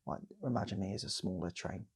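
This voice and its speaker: A self-assured, matter-of-fact woman, as a male storyteller plays her, with a low and husky voice. She is deadpan and unbothered in a comic tale. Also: fast talker, heavily acted.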